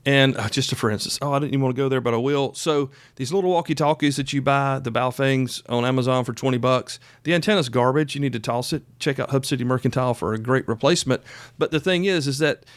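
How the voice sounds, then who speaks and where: A man in his fifties talks as a solo podcast host in a folksy, conversational manner. His pitch sits in the middle range for a man, and he leans on key points and wanders into asides. The sound is close and dry. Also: quick pace, clear, resonant tone, no echo. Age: 50s. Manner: folksy, chatty, emphatic, given to asides. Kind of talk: casual solo podcast talk.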